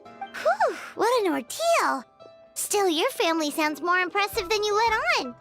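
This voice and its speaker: A woman voicing a small, eager fairy companion in a video game, with a high squeaky voice and an excitable, curious manner. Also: heavily acted. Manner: excitable and curious, quick to exclaim. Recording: game cutscene with music behind.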